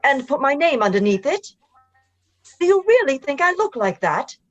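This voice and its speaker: A woman acting a stern, indignant schoolteacher in a radio play, scolding with sharp questions, over dull lo-fi audio. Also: sits high, very animated, normal speed. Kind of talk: live radio drama script reading.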